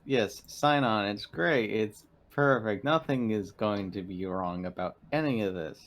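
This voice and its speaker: Male game player, unhurried, casual table talk at a slow pace. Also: on the low side. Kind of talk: casual chat.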